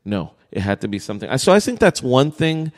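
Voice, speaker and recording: A man's voice: mid-range voice, big pitch swings, a little fast. A man in a casual debate, speaking in a skeptical, challenging way and pressing with questions. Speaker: podcast co-host. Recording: reverberant hall-like room echo.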